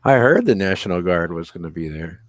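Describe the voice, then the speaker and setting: A man's voice: sits low, lively intonation, fairly quick, no room sound. A man hosting a livestream, chatting casually in a joking, mock-dramatic way. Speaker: live stream host.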